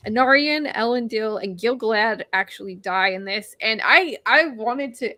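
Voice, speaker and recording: A woman hosting a casual book discussion, recapping the story in an animated way with frequent laughter and fillers. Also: a little high, moderate pace, no echo. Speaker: host of a book read-along.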